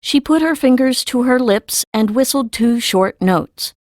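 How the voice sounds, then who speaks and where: A woman's voice: fairly high pitch, expressive, measured pace, no echo, clean studio sound. A woman reading scripted story narration for an audiobook.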